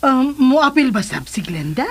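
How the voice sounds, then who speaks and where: An actress with a Cebuano Filipino accent playing a gentle, concerned mother of a grown son in a radio drama. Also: high voice, sing-song, unhurried, husky, exaggerated voice. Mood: curious.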